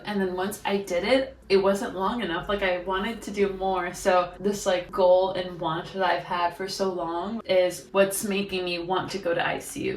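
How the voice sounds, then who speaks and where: A woman in her twenties with an American accent, chatting casually as a vlogger as she walks through a process step by step and fills her talk with "like". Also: mid-range voice. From United States. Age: 20s. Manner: chatty, heavy use of "like". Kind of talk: casual walkthrough. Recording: roomy echo.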